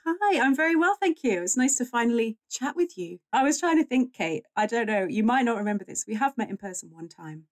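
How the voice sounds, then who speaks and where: A woman's voice: fairly high pitch, sing-song, fairly quick, few pauses. A woman chatting casually as an interview guest, with a friendly, wry manner. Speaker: guest historian and author.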